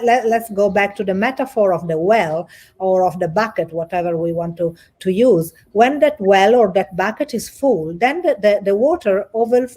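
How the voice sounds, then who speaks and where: A woman with an Italian accent, a guest coach speaking over a video call in an earnest, halting way with many restarts. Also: mid-range voice, a little mellow. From Italy. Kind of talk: livestream interview.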